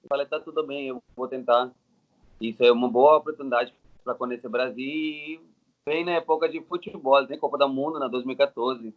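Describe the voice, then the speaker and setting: Indian guy in his 30s w indian accent telling his story, halting simple phrases, kinda muffled lo-fi sound. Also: medium pitch. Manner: halting, non-native Portuguese with simple phrasing. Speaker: interview guest. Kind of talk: casual personal storytelling. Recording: dull, lo-fi audio.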